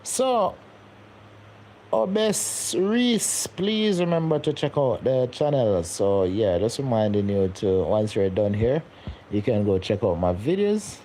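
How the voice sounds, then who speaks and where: A young man chats loosely on his live stream, looping back over his words as he talks. His voice is mellow and dark, with a faint hum of background noise. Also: mid-range voice, expressive, slow. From Jamaica. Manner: rambling, repeats words, laughs often. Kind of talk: casual live-stream chat reading comments.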